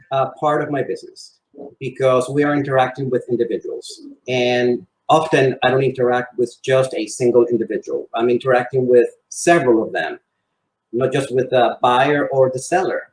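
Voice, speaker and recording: A man's voice: medium pitch, a little mellow. Man in a roomy space. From Venezuela and Spain. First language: Spanish. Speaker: interview guest, real estate salesman. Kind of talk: conversational interview answers. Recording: roomy, echoing sound.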